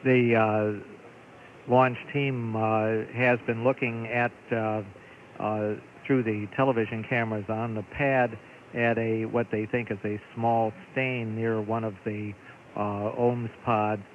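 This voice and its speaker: Brief: American male launch commentator, low pitch, measured and formal, narrow tinny radio sound. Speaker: launch control public affairs commentator. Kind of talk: official live launch commentary. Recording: noisy, phone-like narrowband radio audio.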